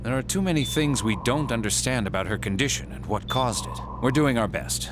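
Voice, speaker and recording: An actor playing a sober, puzzled hospital doctor in a video game, speaking in a measured, formal way. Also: male voice, medium pitch, expressive, background noise, lightly acted. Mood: concerned, puzzled.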